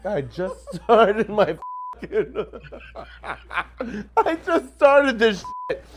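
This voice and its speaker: A woman's voice: mid-range voice, sing-song, drawn-out words. A woman in her forties chatting casually as a podcast co-host, blunt and cheeky. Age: 40s. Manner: blunt, cheeky, swears freely. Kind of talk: casual chat.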